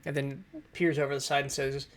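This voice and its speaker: A man narrating as game master for a tabletop game over an online voice call, pausing often with fillers as he describes the scene. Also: medium pitch, little pitch movement. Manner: hesitant narration with many fillers. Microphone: computer mic on an online voice call.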